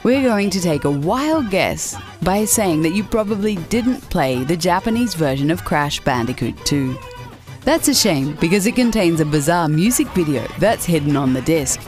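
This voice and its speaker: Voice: mid-range voice, sing-song, normal speed, darkish tone, faint music behind. A narrator reading a scripted video game countdown as a voice-over.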